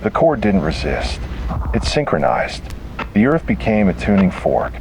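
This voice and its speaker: Casting: male horror narrator, deep register, grave and measured delivery in short deliberate phrases, over a noisy recording. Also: huge pitch swings, darkish tone. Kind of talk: scripted first-person horror story narration.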